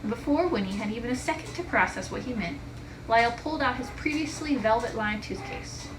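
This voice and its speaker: A woman's voice: on the high side, animated, brisk, recorded from a distance. A woman teacher reading a children's story aloud expressively, recorded in an echoey, noisy room. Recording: echoey room with clear background noise.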